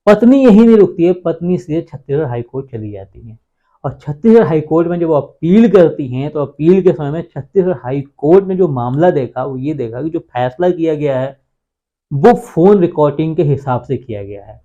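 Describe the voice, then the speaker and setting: A man's voice: fairly high pitch, animated, normal speed, warm tone. A man giving an informal explainer on the law to viewers, asking questions and answering them himself, repeating key points. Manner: repetitive, asks and answers his own questions. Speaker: legal explainer on a channel. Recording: dull lo-fi sound.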